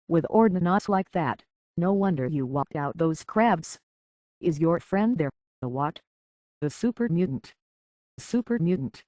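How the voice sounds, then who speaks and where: An actor playing a gruff, proud, older male island hunter in a video game, with a gravelly, husky voice and folksy, rustic speech. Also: a little high, no room sound. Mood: curious, folksy. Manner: folksy rustic speech with dropped grammar and ain't. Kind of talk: video game dialogue acting.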